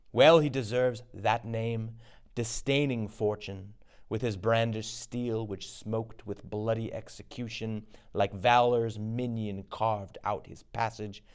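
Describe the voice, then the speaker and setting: A man playing a wounded soldier in a stage tragedy, reporting battle in a grave, declamatory manner. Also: fairly low pitch.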